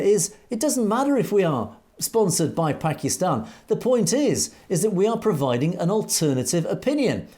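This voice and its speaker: A man's voice: high-pitched, mellow. A man co-hosting a news commentary show, reading out viewer comments and answering them in an earnest, emphatic way with rhetorical questions. He is from Europe.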